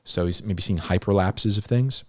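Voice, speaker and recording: Male Bible teacher, low register, dry sound, unscripted and conversational, emphatic repetition. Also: moderate pace, no echo. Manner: conversational and emphatic, repeats key phrases for stress. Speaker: host of a Bible-explainer series, teacher.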